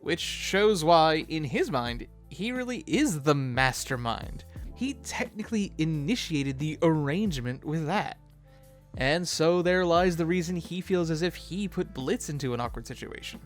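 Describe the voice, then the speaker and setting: Casual host doing chatty video commentary, super lively pitch, music underneath. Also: a little slow.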